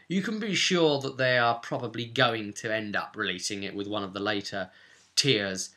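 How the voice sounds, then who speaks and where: A man's voice: medium pitch, bright, forceful tone. A man presenting an explanatory guide to a tabletop game, conversational but leaning hard on key words.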